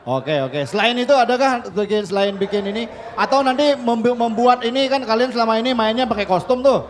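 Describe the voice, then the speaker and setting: A man's voice: extremely high pitch, animated, fairly quick, a little hiss or hum. A man hosting a casual podcast interview, affirming his guests and asking follow-up questions in a slangy way. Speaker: podcast host.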